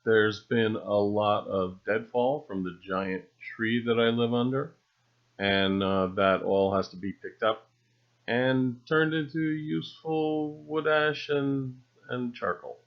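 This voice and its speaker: A man narrating an unscripted, rambling voice-over in a halting, musing way, with dry, understated humor. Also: mid-range voice, steady tone. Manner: halting, musing delivery with long trailing phrases. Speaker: video narrator. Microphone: separately recorded voice-over. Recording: dull, lo-fi voice recording.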